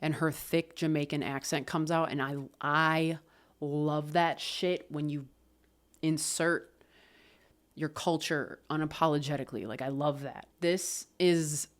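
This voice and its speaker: A woman casually talking through an album review, loose and expressive, swearing and laughing often. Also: deep voice, unhurried. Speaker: music reviewer.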